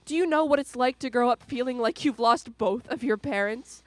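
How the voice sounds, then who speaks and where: A woman's voice: on the high side, moderate pace, no echo, natural voice. A young woman acting in a radio drama as a hurt, determined daughter confronting her father, emotional and pleading. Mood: angry, accusing. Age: young adult.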